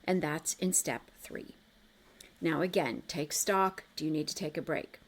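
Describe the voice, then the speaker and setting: Slow-paced woman coach, encouraging and deliberate. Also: low voice. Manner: encouraging, reassuring, addresses listeners directly. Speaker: coach guiding a self-reflection exercise. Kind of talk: instructional coaching talk, step by step.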